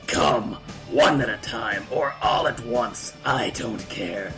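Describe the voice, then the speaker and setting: A man playing a menacing, arrogant older martial arts master, speaking with pompous, theatrical slowness and a gravelly edge in a fan-made voice comic. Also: lowish voice, over music. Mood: proud menace. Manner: slow, pompous, theatrical threats.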